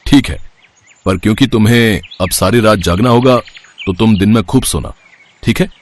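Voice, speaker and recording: A man voicing a wealthy, trusting factory owner, measured and reassuring, in a moral story. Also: on the low side, slight background noise, natural voice. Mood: kindly, reassuring. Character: kindly, trusting wealthy male factory owner, calm boss. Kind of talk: Hindi moral story voice acting.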